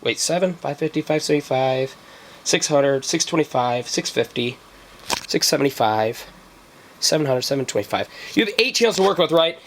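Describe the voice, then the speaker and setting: Higher-pitched man, informal explainer vlog. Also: expressive, moderate pace, fairly bright tone, slight background noise. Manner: rambling, checks in with "right?" and "okay?". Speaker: vlogger, radio hobbyist explaining gear. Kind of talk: informal explainer talk to camera.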